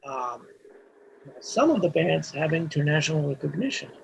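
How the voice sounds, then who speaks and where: A man's voice: fairly high pitch, very animated, moderate pace, creaky, slight background noise, poor audio. A man, a Hebrew speaker, talking casually as an interview guest, rambling with restarts and frequent "you know" fillers. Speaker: mastering engineer guest.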